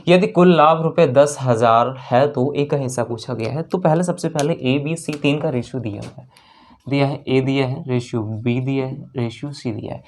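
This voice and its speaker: A woman's voice: extremely low pitch, normal speed, a little mellow, slight background noise. A woman with an Indian accent teaching a maths lesson step by step, repeating phrases and posing questions as she explains.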